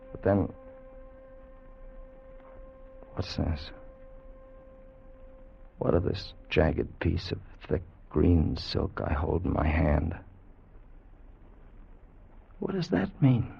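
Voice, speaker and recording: Deep, haunted time traveller, vintage radio. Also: male voice, vocal fry, heavily acted. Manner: theatrical, solemn, intense. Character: haunted male time traveller, earnest, protective, dramatic narrator. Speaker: actor and narrator in a radio drama. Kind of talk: 1950s radio drama acting, narration. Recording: muffled vintage radio recording with music.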